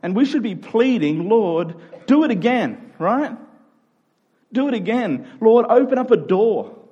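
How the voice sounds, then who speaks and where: A man's voice: high voice, very animated, unhurried, mellow, breathy edge, dull audio. A man preaching a conversational sermon in an informal but emphatic way, repeating key phrases to drive them home.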